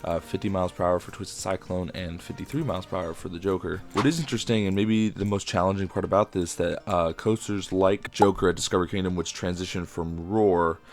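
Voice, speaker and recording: Young guy, raspy from a sore throat, low voice, chatty commentary, faint music behind. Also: steady tone, brisk, few pauses. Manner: chatty, enthusiastic, frequent fillers. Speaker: game video creator. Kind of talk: casual commentary while building.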